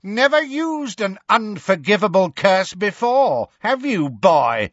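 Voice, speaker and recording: A man voicing a cruel, gloating dark witch in an audiobook, taunting and fanatical with drawn-out words. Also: sits high, sing-song, no echo, lo-fi sound, pitched above the performer's own voice, full character voice. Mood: scornful, menacing. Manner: taunting, drawn-out, mock baby talk at times. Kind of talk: audiobook character voice.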